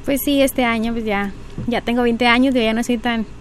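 A woman's voice: on the high side, steady tone, moderate pace, a little hiss or hum. A woman, answering interview questions politely and a bit hesitantly, with frequent filler words. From Mexico. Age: 20s. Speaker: pageant candidate.

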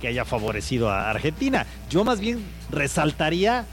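A man's voice: on the high side, big pitch swings, normal speed, a bit bright, soft background music. A man on a sports panel, giving thoughtful opinions.

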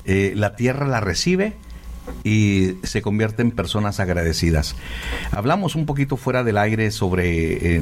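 A man with a fairly low voice speaks as a radio interviewer. His delivery is conversational and attentive, in the style of a faith program. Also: a little mellow. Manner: attentive, interjects short responses.

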